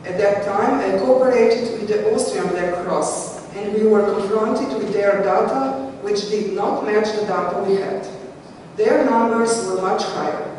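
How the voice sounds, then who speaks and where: A woman's voice: mid-range voice, measured pace, a little mellow, slight background noise. A woman with a Slovenian accent, a conservator giving a conference presentation in English, hesitant with many fillers and restarts. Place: conference hall. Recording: echoey hall heard from far off.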